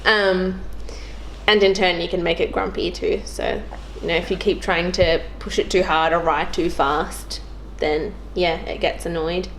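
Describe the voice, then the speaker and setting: A woman's voice: lowish voice, fairly even intonation, measured pace, fairly bright tone. A young woman chatting casually about video games as a guest on a show. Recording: clearly noisy background.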